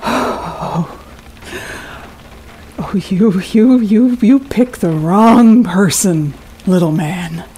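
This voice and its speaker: Female as a threatening, contemptuous guest in horror audio drama; deliberate pace, noisy ambient background. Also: medium pitch, dark tone. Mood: taunting. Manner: menacing, slow and deliberate, contemptuous. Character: volatile, threatening female short-term rental guest.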